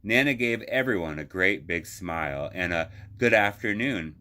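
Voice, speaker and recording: A man's voice: deep voice, expressive, moderate pace, bright, forceful tone. A man reading a children's picture book aloud as a librarian would, expressively, drawing out phrases for emphasis.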